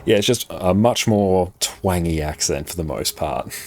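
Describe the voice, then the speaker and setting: A young Australian man with a soft, slightly British-sounding accent, chatting casually and reading chat aloud during a live game stream. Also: low-pitched, measured pace, slight background noise. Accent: Australian, described as a softer, British-sounding one. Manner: relaxed, friendly, chatty banter. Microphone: desk streaming microphone.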